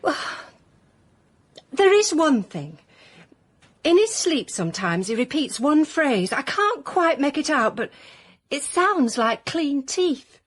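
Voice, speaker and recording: High-voiced, anxious Northern wife. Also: female voice, sing-song, takes it slowly, long pauses, full character voice. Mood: anxious, confiding. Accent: Northern English. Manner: prim, hesitant, confiding. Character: genteel, anxious middle-aged wife seeking help. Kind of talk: comic radio drama acting.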